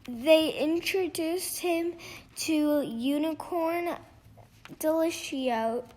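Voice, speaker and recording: Female storybook reader, very high voice, slow and halting, rereads phrases as she goes. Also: darkish tone. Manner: halting, stumbles over words and rereads phrases. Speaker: reader of a children's picture book. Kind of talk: storybook read-aloud.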